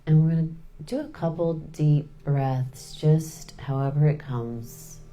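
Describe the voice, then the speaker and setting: Very deep-voiced woman guiding a slow meditation. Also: mellow. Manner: soothing, unhurried, pausing between short phrases. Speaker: guided meditation leader.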